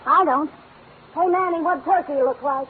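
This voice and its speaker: An actress plays a nosy, folksy woman in an old radio drama. Her extremely high-pitched voice rambles bluntly and colloquially. It comes through a thin, tinny vintage recording. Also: normal speed, a crowd in the background, natural voice. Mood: matter-of-fact. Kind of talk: old-time radio comedy-drama acting.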